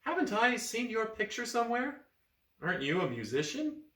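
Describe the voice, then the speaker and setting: A man's voice: high-pitched, big pitch swings, normal speed, pushed and bright, echoey room, recorded from a distance, voice pushed up, heavily acted. A man doing a gushing teenage girl.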